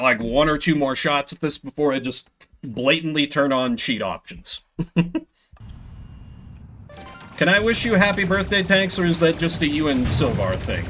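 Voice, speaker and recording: A man's voice: a little high, fairly bright tone, a little hiss or hum. A man doing casual live gaming commentary, chatting with viewers in a rambling, self-deprecating, sweary way. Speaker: video game streamer. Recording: music behind the voice. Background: video game music and sound effects.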